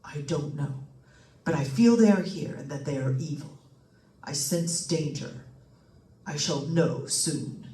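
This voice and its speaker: A woman's voice: deep voice, a little slow, long pauses, dark tone. A woman playing a grave, formal psychic ghost-hunting doctor in an old-time radio suspense drama. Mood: ominous. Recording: roomy, distant stage microphone pickup.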